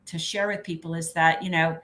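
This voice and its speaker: Bright-voiced woman giving earnest advice. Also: sits low, fairly even intonation, a little fast, slight background noise. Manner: earnest, encouraging. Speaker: panel guest. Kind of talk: giving career advice on a panel.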